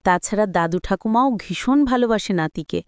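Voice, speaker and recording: A woman's voice: mid-range voice, lively intonation, normal speed, clear, resonant tone, no echo, clean studio sound. A woman storyteller reading a dramatised audio story as scripted narration.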